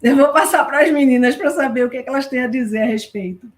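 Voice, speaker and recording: High-pitched, enthusiastic Brazilian woman. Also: fast. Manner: enthusiastic, emphatic. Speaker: panelist in an education discussion. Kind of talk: conversational explanatory talk.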